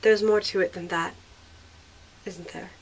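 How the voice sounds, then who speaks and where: A woman's voice: lowish voice, steady tone, unhurried, husky, rough recording. A woman as a guarded, kind young woman. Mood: probing. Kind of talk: fan audio drama dialogue.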